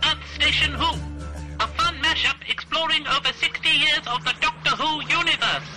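A woman delivers a scripted podcast intro. Her voice is very high and very bright. It is recorded dry, with music underneath. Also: moderate pace, no echo. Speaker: announcer. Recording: dry voice over music bed.

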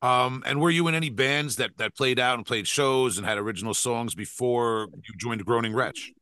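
A man's voice: mid-range voice, normal speed, sharp tone, no room sound. A man in his forties hosting a casual interview, asking rambling questions with many restarts. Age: 40s. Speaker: podcast host.

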